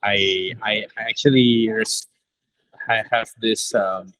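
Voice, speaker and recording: Halting, lowish-voiced man. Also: steady tone, sharp tone, no echo, rough recording. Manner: halting, repeats words for emphasis. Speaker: director interviewed about an audio drama. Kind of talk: casual interview talk.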